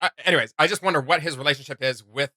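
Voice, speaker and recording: A man's voice: fairly high pitch, rapid-fire, bright, forceful tone, no echo, clean studio sound. A man hosting a reaction video in casual, slangy, jokey chat.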